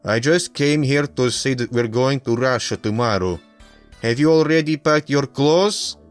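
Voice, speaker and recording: A man voicing a calm, considerate woman, gentle and direct, in an anime fan story. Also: medium pitch, measured pace, big-room sound, faint music behind, natural voice. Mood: tender.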